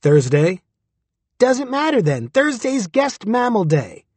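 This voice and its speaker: A squeaky, simple-minded talking penis. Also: male voice, big pitch swings, takes it slowly, a little mellow, breathy edge, no echo, heavily acted. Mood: eager.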